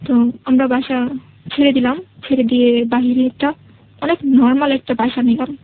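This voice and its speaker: A woman with a Bangladeshi accent telling her life story as a radio interview guest, in halting, repetitive, colloquial speech. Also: high voice, level tone, phone-quality sound. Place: radio studio.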